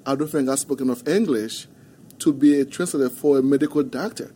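Soft-spoken Haitian-accented man, mellow voice. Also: mid-range voice. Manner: soft-spoken, halting, earnest storytelling. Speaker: guest. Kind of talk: podcast interview. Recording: faint background noise.

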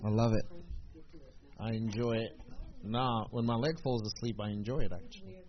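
A man leading a church group session in a relaxed, joking, then earnest way. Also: mid-range voice, normal speed. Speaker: Bible study leader. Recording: dull lo-fi room recording with clear background noise.

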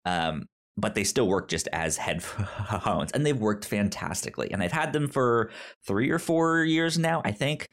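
A man co-hosting a podcast in casual comedic chat, telling stories in a rambling, animated way with stammered repeats and acted-out quotes. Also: on the low side, a little slow. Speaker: podcast co-host.

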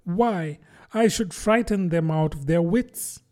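Man voicing a little girl, high light voice, polite, earnest, doubtful, storybook. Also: slow, a little mellow. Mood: musing. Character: truthful, puzzled little girl. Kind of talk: audiobook character voice.

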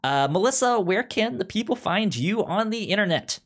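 A man's voice: high-pitched, lively intonation, normal speed. A man co-hosting a podcast in casual chat, informal and speaking straight to the listeners. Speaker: podcast co-host.

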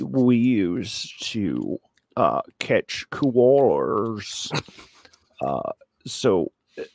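Low male voice, gravelly, put-on Australian accent, halting deadpan mock lecture, comic presenter. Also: dry recording. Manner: putting on a joke Australian accent. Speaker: comic presenter giving a slideshow talk.

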